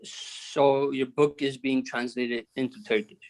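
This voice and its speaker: Male student asking a question, polite, monotone, mid pitch. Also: unhurried, poor audio. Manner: polite, slightly hesitant.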